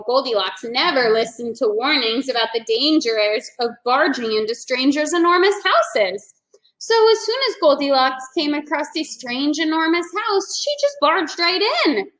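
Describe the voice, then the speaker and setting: A woman teacher reading a picture book aloud with animated, playful storytelling, heard in an echoey room. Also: high voice.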